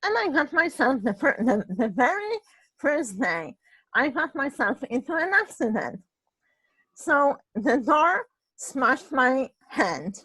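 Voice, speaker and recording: A woman from Poland with a Polish accent and a husky voice, telling a personal story in casual chat, halting and restarting her phrases and often adding "right?". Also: high-pitched, sing-song, bright, forceful tone, no room sound, poor audio. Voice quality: husky voice, ongoing voice problem.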